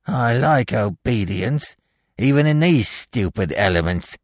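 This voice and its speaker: A man voicing a misshapen, malevolent dwarf magician in a dramatic story reading, with theatrical, grandiose, mockingly genial delivery and a gravelly, creaky voice. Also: medium pitch, expressive, dry recording, studio quality, pitched above the performer's own voice. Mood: smug, boastful. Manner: theatrical, drawn-out, archaic grandiose speech. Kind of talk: dramatic audiobook character reading.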